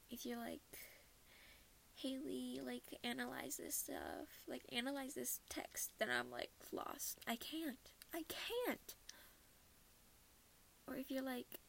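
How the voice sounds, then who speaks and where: A woman's voice: fairly high pitch, vocal fry, slight background noise. A teenage girl from Minnesota chatting casually about her month, rambling and laughing often. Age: teens. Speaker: podcast host. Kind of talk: casual personal chat.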